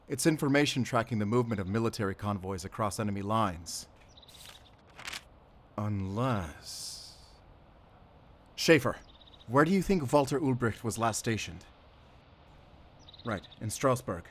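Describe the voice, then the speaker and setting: A man playing a weary, determined werewolf hunter in a cinematic audio roleplay, speaking pensively in short, halting phrases. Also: fairly low pitch, slight background noise. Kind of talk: cinematic audio roleplay acting, addressing a silent companion. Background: cinematic ambient sound effects.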